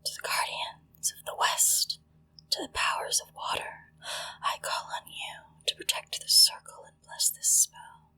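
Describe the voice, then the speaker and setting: Slow, whispering ASMR woman. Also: low-quality recording. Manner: hushed, slow, gentle, deliberate pauses. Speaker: ASMR host leading a witchcraft ritual. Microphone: close-up sensitive microphone.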